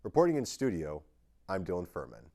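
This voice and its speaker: Young male local news reporter, lower-pitched voice, scripted delivery. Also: huge pitch swings, a little fast, vocal fry, dark tone. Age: young adult.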